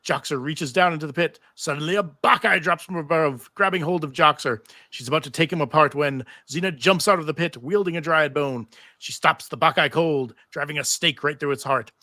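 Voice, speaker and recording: A man's voice: fairly high pitch, a little fast, bright, forceful tone, dry recording, clean studio sound. A man narrating stage directions in a live table read of a TV script.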